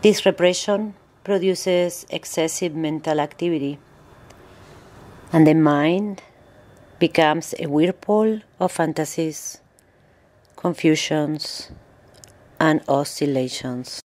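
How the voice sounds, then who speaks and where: Slow, low-pitched woman guiding meditation. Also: a little mellow. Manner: slow, measured, instructional phrasing with pauses. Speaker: yoga instructor and meditation guide. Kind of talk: guided meditation narration.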